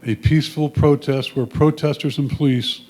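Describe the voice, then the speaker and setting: A man's voice: a little high, steady tone, normal speed, darkish tone. A man reading photo descriptions aloud in a plain, matter-of-fact way. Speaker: reader of photo captions at a photo club presentation.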